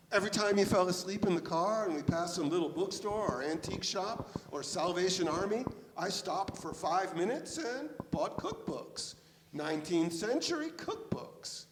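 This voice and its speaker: An actor playing an irritable, sweary detective chef in a live staged play reading, in a theatre. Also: male voice, sits high. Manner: sweary, exasperated. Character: irritable detective chef, secretly obsessed with cookbooks. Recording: echoey theatre recording with noise.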